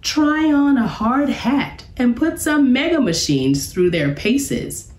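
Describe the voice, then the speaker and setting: A woman's voice: high voice, very animated, slow pace, a little mellow, distant mic, pitched above the performer's own voice, heavily acted. A woman voicing a cheerful, instructive male bear professor from a children's storybook, encouraging and emphatic, in an echoey room. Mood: upbeat, instructive. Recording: roomy, echoey sound.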